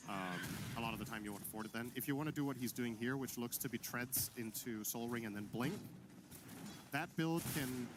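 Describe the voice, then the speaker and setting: A man giving analytical commentary on a video game match, measured and explanatory, often pausing with ums. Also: mid-range voice, background noise. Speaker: color analyst. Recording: broadcast commentary over game music.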